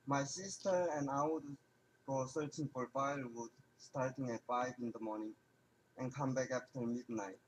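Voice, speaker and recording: Young adult male. Measured, earnest prepared speech. Faint background noise. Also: mid-range voice, steady tone, low-quality recording. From North Korea. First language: Korean.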